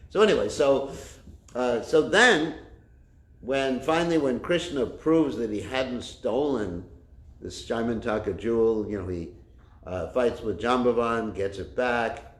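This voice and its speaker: A man with a loud voice giving a religious class, telling a story with many fillers and restarts, recorded without a microphone. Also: mid-range voice, a little slow. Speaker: spiritual teacher. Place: class room with a kitchen nearby. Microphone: no microphone, room recording. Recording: echoey room.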